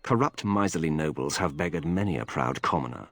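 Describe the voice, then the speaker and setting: Casting: shadowy game informant; very low voice, lively swoops of pitch, measured and secretive, formal diction. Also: male voice, no room sound, exaggerated voice. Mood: guarded, conspiratorial. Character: shadowy male vigilante informant, wary and calculating. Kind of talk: video game voice acting.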